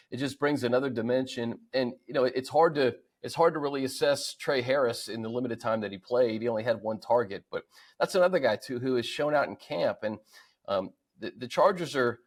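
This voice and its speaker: An American man hosting a sports show with casual, conversational football commentary. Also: mid-range voice. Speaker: sports show host and analyst.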